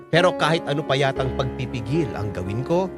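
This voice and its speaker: An actor playing a gentle, self-effacing middle-aged Filipino man in a radio drama, with a soft, earnest delivery and a Filipino accent. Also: mid-range voice, lively intonation, fairly quick, lightly acted. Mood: conflicted, confessional. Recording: music behind the voice.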